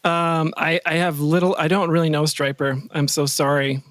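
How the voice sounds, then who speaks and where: Higher-voiced man, casual podcast guest. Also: flat delivery, moderate pace, fairly bright tone, dry recording, studio quality. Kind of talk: casual interview chat.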